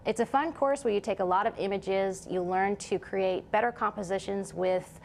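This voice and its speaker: Creaky-voiced woman explaining as an instructor. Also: mid-range voice, moderate pace, slight background noise. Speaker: digital design instructor. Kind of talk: explanatory interview talk.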